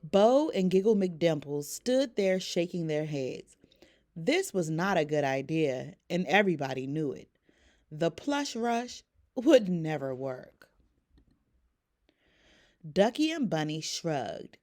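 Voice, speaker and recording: A woman reading a bedtime story aloud to children in an expressive, deliberate storytelling style. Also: low-pitched.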